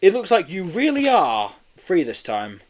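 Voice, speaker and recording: A man voicing an earnest, excitable young boy in a storybook, with exclaiming delivery. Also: high-pitched, unhurried, pitched above the performer's own voice, heavily acted. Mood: cheerful. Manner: urgent, exclaiming.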